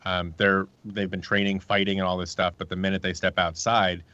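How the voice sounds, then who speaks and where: Low-pitched man chatting, dull lo-fi sound. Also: flat delivery, brisk, sharp tone, no echo. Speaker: guest, audio drama creator. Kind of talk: casual conversational pitch.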